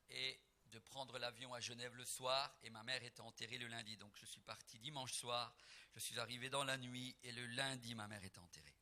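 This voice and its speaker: Brief: middle-aged gospel preacher from Portugal, bright piercing tone, emphatic swells and soft reflective asides. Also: male voice, mid-range voice. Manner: emphatic, rising to near-singing on key phrases; soft and reflective when telling family memories. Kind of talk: preaching between songs at a concert.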